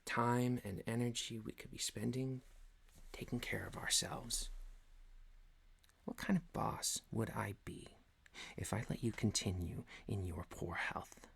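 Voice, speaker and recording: A man playing a stern, pompous office boss in an ASMR roleplay, speaking formally and deliberately with theatrical pauses. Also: fairly low pitch, steady tone, creaky, fairly bright tone, breathy edge, full character voice. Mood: grave, softly lecturing. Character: stern, pompous male office boss, mock-solemn, paternal. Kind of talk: scripted ASMR roleplay monologue.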